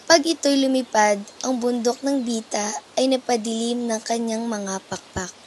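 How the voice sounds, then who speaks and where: A woman's voice: fairly high pitch, darkish tone, a little hiss or hum. A woman reading scripted, dramatic narration of an epic poem for radio. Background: faint music.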